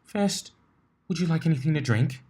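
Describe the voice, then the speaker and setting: A man voicing a poised female unicorn pony with a polite, brisk and formal manner. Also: a little high, expressive, darkish tone, voice pushed up. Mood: poised, businesslike.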